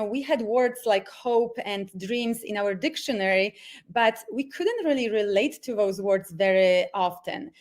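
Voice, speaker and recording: A woman from Poland with a Polish accent, speaking as a mindset coach hosting a motivational webinar and telling personal stories. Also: on the high side. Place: at home.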